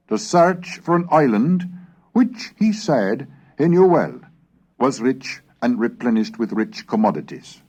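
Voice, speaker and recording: A man reads archaic prose as a formal narrator, with pitch that rises and falls widely across each phrase. His voice sits in a middle register, and the delivery is measured and declamatory, like a scripted recitation of old chronicles. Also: a little mellow, husky. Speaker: reader of historical texts. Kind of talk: scripted narration, dramatic reading of archaic English texts.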